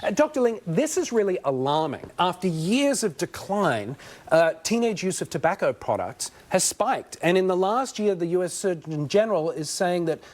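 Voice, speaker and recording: This is an upbeat male host running a sponsored TV health segment. He asks his guest questions in a bright, emphatic, scripted way, keeping the interview moving. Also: high voice, sing-song, moderate pace.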